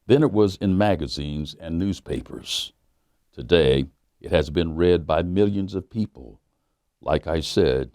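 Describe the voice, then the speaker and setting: A man, a pastor, reading a children's book aloud in a measured storytelling manner that slows down on key lines. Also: low-pitched, dark tone, dry recording, clean studio sound.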